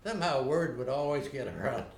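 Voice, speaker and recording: Older man, interviewee; plainspoken and unhurried, recorded on a roomy camera mic. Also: medium pitch, lively intonation, creaky, a little hiss or hum. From United States. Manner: unhurried, plainspoken, frequent uh pauses. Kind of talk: unscripted recollection. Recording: roomy home video recording.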